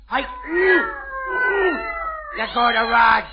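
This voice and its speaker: Bratty little kid, baby talk, 1940s radio comedy, dull lo-fi sound. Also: male voice, very high voice, sing-song, slow pace, creaky, exaggerated voice. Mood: bossy, gleeful. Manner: childish ungrammatical baby talk. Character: mischievous little boy Junior, bratty and cheeky. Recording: noisy lo-fi vintage radio broadcast.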